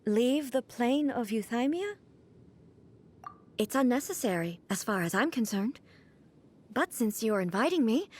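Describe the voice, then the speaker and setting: Goddess ruler, aloof, formal, measured, lilting, slightly high. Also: female voice. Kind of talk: video game cutscene voice acting. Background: faint game music.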